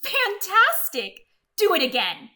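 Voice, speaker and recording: A woman's voice: very high-pitched, wildly swooping pitch, slow pace, pushed and bright, pitched above the performer's own voice, exaggerated voice. A woman voicing a bold, clever young princess with emphatic delivery in a storybook read-along. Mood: gushing, urging. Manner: emphatic, exclaiming.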